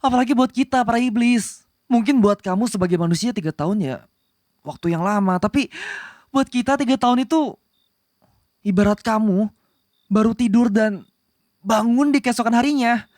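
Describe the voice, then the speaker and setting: A man's voice: very high-pitched, normal speed, pauses often, darkish tone, no echo, clean studio sound. Man acting a haughty, flustered young demon prince. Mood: exasperated. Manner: switches between cold menace and flustered casual rambling. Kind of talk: Indonesian ASMR roleplay acting.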